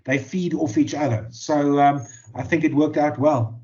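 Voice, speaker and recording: A man's voice: fairly high pitch, moderate pace, mellow. South African man, hesitant and thoughtful. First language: Afrikaans. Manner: thoughtful, many fillers and repeated words. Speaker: journalist and author. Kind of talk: interview answers. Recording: dull lo-fi audio.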